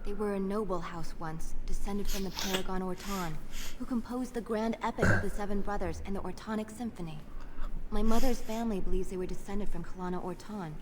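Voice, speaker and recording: A woman's voice: mid-range voice, natural voice. Woman playing shy young dwarven researcher, noisy backdrop. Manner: hesitant, apologetic, hopeful. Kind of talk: fantasy video game voice acting. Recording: game audio with clear background noise.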